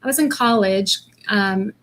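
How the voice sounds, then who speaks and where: A woman's voice: mid-range voice, unhurried, fairly bright tone. A woman from Missouri with a Missouri accent, answering interview questions over a video call, hesitant and full of ums. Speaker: interview guest, actress.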